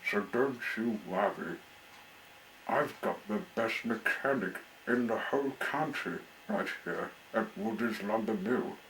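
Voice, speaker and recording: A man's voice: on the low side, slow, a bit bright, a little hiss or hum, poor audio. A man playing a kindly, reassuring lumber mill owner, a folksy father figure in a children's storybook.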